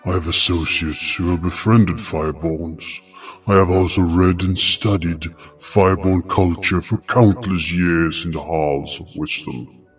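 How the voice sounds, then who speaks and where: A very low-voiced, haughty old ice king played by a man, stately and scholarly, quick to scold. He sounds set back from the mic, with music underneath, in a fantasy audio drama. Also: fairly even intonation, far from the mic. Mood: condescending. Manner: stately, condescending. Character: haughty, scholarly old frost king, dismissive and short-tempered.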